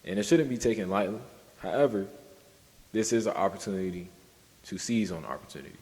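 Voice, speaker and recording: A man co-hosting a podcast in casual discussion, halting and circling back over his points. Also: deep voice, a little mellow, long reverb tail, low-quality recording. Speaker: podcast co-host.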